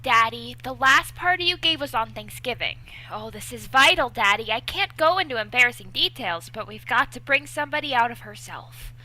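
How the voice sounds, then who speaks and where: A woman's voice: on the high side, lively intonation, normal speed, hardly any pauses, bright, forceful tone, heavily acted, poor audio. A woman playing a perky, scheming teenage schoolgirl in old-time radio comedy style, earnest, coaxing and bossy. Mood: pleading, earnest.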